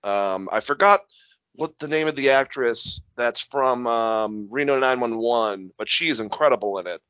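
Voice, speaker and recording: A young man co-hosts a casual podcast, piling up fillers and repeats while swearing freely. His voice is bright and forceful. It sounds dry and slightly lo-fi. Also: mid-range voice, normal speed, dry recording. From Massachusetts, USA. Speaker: podcast co-host.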